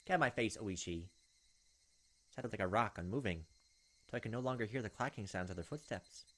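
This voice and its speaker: A man's voice: fairly low pitch, expressive, a little fast, a bit bright. A man hosting a gaming video, reading story text aloud expressively and dropping in casual asides.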